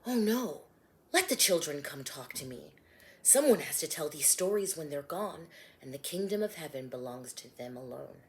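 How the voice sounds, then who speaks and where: A woman voicing a gentle male teacher who tells rhyming parables in a measured way. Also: low voice, animated.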